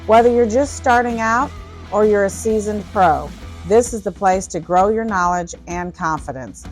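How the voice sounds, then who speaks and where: A woman in her fifties hosting a podcast, delivering a polished scripted intro and interview questions in an even voice. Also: mid-range voice, moderate pace. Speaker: podcast host, sonographer. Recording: dry close mic with faint music. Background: faint music.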